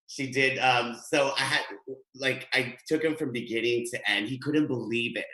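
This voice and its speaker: Bright-voiced man doing stand-up from home. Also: mid-range voice, moderate pace. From Guatemala. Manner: chatty, animated, gesturing. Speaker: stand-up comedian. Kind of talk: stand-up set. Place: home room. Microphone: webcam, video call. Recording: echoey home room.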